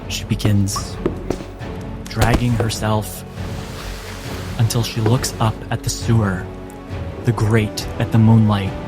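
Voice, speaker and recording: A man running a tabletop game as game master, switching between rules talk and deliberate, dramatic narration. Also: on the low side. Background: background music.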